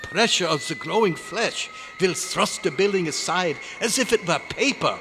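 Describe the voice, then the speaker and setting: Frantic, desperate male scientist played by a man, vintage radio melodrama, high-pitched and urgent, noisy live recording. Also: lively intonation, moderate pace. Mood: dread. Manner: urgent, pleading, exclamatory, formal diction. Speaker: club member performing a radio play recreation. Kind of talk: old-time radio drama acting. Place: hotel convention meeting room, live performance.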